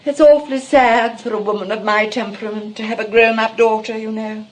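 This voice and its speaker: A woman's voice: fairly high pitch, fairly even intonation, fairly quick, lightly acted. A woman playing a vain, middle-aged English retired actress in an affected, coquettish stage comedy manner. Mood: wistful, self-dramatising. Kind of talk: stage comedy acting, 1920s drawing-room manner.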